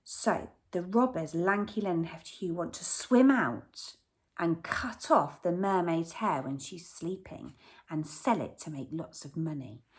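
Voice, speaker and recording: A woman teacher reading a rhyming picture book aloud to children, animated, sometimes dropping to a whisper. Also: on the low side, unhurried.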